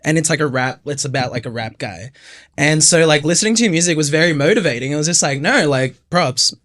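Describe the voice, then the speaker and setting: A man's voice: fairly high pitch, a little fast, a bit bright. A man co-hosting a podcast, chatting enthusiastically with lots of hesitant fillers. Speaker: podcast co-host. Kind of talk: casual podcast chat.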